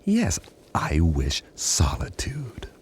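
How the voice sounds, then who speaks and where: An actor playing a weary, brooding linguist who narrates a radio drama deliberately, sometimes sinking to a hush. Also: male voice, sits low, wildly swooping pitch, a little hiss or hum, heavily acted. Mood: weary, withdrawn. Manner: brooding, deliberate, dropping into hushed whispers. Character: weary, brooding male linguist recounting a nightmare. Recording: faint music under the voice.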